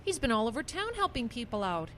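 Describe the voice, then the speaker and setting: Actress as kindly woman pastor, high voice, lively intonation, practical, radio play, noisy background. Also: fast talker. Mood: chatty. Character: kindly, practical small-town female pastor. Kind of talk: radio drama acting.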